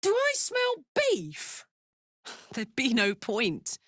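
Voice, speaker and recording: A battle-hungry video game warrior woman with a big heart and a salty, informal tongue. Her delivery is unhurried and emphatic, swinging widely in pitch, in a very dry studio sound. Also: wildly swooping pitch, a bit bright, no echo, heavily acted. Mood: grave, earnest. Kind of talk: video game character voice lines.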